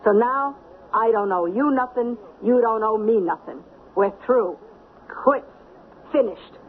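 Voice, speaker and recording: A woman's voice: on the high side, unhurried, lightly acted. An actress playing a smart, bossy streetwise woman with an American accent, giving emphatic, slangy orders in an old-time radio drama. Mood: insistent warning. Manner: emphatic, slangy, punchy commands. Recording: tinny, noisy 1970s radio broadcast.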